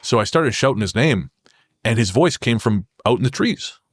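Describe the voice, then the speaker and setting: A man's voice: mid-range voice, lively intonation, normal speed, husky, no room sound. A man speaking as a podcast guest, telling personal stories in a casual, reflective and wry way. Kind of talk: casual conversational storytelling.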